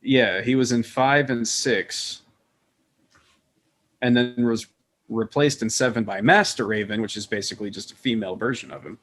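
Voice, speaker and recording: A man's voice: on the low side, moderate pace, fairly bright tone. A man chatting casually as a podcast panelist, rambling and opinionated, with some casual swearing. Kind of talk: casual group chat.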